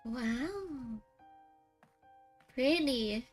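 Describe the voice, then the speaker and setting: A woman's voice: sits high, lively intonation, very slow, soft background music. A woman game streamer giving casual live commentary, musing and reacting aloud in short remarks.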